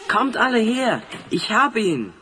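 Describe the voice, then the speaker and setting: Lively, decisive adventuring hero. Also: male voice, high voice, unhurried, slight background noise, exaggerated voice. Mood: eager, commanding. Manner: theatrical, expressive. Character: decisive, inquisitive leader of adventuring heroes. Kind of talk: German fantasy audio play acting.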